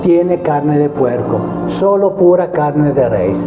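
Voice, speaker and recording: A man's voice: fairly high pitch, slow pace. Italian man with heavy accent, gesturing. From Italy. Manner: mixes Italian into hesitant Spanish, lively hand gestures. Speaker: chef and restaurant owner. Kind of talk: casual interview. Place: small restaurant dining room, indoors. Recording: echoey room, phone-like sound, background music.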